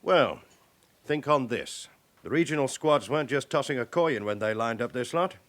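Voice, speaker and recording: A senior police chief inspector played by a male actor in a radio drama, methodical and suspicious. His medium-pitched voice rises and falls widely as he deliberately builds his case with pointed questions. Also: expressive, fairly bright tone, lightly acted. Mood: pensive, pointed. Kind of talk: naturalistic radio drama acting.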